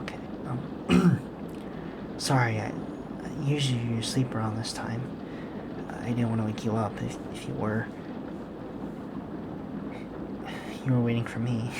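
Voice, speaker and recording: A man playing a gentle, guilt-ridden serial killer boyfriend and father in an intimate ASMR roleplay, speaking softly and hesitantly, with apologies. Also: medium pitch, gravelly, heavy background noise. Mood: apologetic, caring. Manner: hesitant, halting, apologetic, soft-spoken.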